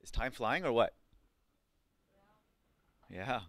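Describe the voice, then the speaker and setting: A man's voice: medium pitch, lively intonation, slow pace, no echo. A man from Pakistan in his thirties teaching a live coding class, chatty, with lots of ums and questions to the students. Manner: conversational, many ums and likes, asks the class questions. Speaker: coding bootcamp instructor.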